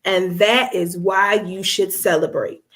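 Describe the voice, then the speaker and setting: A woman's voice: medium pitch, steady tone, slow, pitched below the performer's own voice, lightly acted. A woman voicing a loving, reassuring mother from a children's storybook, encouraging and emphatic.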